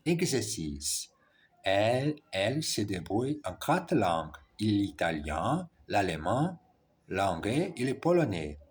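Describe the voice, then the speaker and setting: Slow, husky man. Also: fairly low pitch, sing-song. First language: Portuguese. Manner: halting, careful, frequent self-corrections. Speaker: French learner. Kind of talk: reading French practice aloud.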